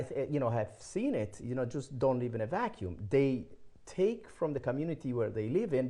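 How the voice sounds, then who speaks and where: Older Israeli man, mellow voice. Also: mid-range voice. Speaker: interview guest, photographer. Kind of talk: conversational personal storytelling.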